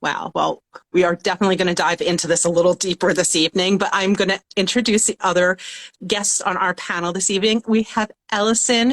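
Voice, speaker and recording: A woman hosting an online panel, enthusiastic, with quick affirmations and some "um" fillers as she introduces the guests. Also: medium pitch, a bit bright. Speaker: panel host.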